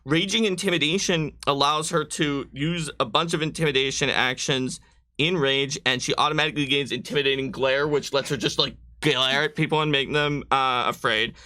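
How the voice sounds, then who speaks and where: Guy hosting a tabletop game stream, really bright voice, explaining options casually. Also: a little high, fairly even intonation, normal speed. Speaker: game host guiding character creation.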